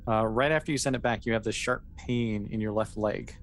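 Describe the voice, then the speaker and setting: A game master with a medium-pitched voice talks through an improvised roleplaying scenario. The delivery is casual and conversational. There is some noise in the recording. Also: steady tone, brisk.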